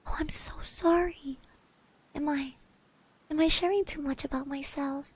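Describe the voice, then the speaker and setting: A woman voicing a shy, sweet, chatty girl classmate in a soft-spoken, hesitant ASMR roleplay. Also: sits high, fairly even intonation, measured pace. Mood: shy curiosity. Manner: hesitant, gentle, refers to herself in third person.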